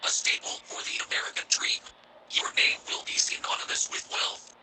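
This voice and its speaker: A man voicing a whispering, many-mouthed cosmic entity that tempts a man with power in a slow, ominous voice. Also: sharp tone. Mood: tempting. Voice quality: breathy whisper.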